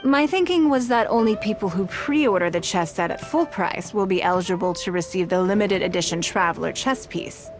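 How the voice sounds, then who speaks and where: A woman voicing a composed, wealthy woman leader in a game, measured and authoritative. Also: fairly low pitch. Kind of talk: game voice acting. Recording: game dialogue over faint music.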